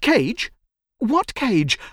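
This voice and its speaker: A clever little storybook girl, played by a woman, curious and innocently puzzled. Her pitch sits high and moves around freely. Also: huge pitch swings, very slow, breathy edge, dry recording, clean studio sound, full character voice.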